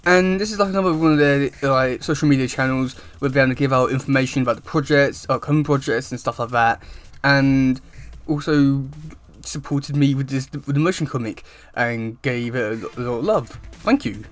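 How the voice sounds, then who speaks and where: A young man chatting casually to camera as a YouTube host, with a halting, wordy delivery. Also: mid-range voice. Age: young adult. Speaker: YouTube host reviewing comic news. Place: small room indoors at home.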